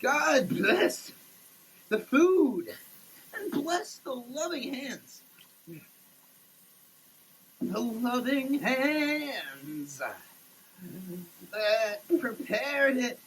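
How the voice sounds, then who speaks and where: A performer giving a halting, theatrical, declamatory dramatic reading in a running shower. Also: high voice, big pitch swings, slight background noise, rough recording. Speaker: solo sketch performer. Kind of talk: dramatic reading of a product label. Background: running water.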